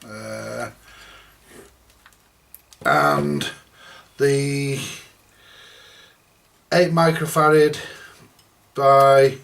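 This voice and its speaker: A man giving casual, hands-on workshop commentary as host of a repair video, chatty and halting as he thinks aloud. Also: medium pitch, vocal fry, a bit bright.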